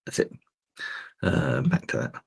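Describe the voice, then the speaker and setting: A man hosting a livestream, chatting loosely through a software and gear demo with lots of fillers, his voice a little croaky. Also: a little slow. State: slightly croaky voice. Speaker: host demonstrating streaming gear. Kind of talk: casual tech demo talk. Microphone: desk microphone.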